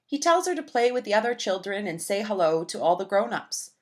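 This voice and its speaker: A woman reading a children's picture book aloud in a gentle, expressive storytelling manner. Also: medium pitch, brisk.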